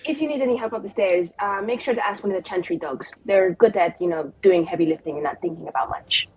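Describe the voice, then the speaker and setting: A woman's voice: mid-range voice, fast talker, a little mellow, a little hiss or hum, voice pushed down, natural voice, rough recording. A woman playing a very confident, spiteful female mage with sarcastic, mock-formal delivery in an improvised tabletop game. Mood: scornful.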